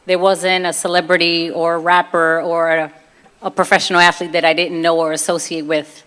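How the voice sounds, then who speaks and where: A woman's voice: lowish voice, flat delivery, normal speed, a bit bright. A woman with a Brooklyn accent giving an informal personal talk, telling her story conversationally and acting out bits of dialogue. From Brooklyn, New York, USA.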